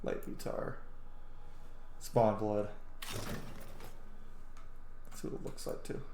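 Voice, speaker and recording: A man's voice: on the low side, steady tone, takes it slowly, vocal fry, background noise. A man giving casual, blunt, sweary commentary as he plays a video game. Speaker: gamer, video host. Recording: roomy sound with game music behind. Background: video game music.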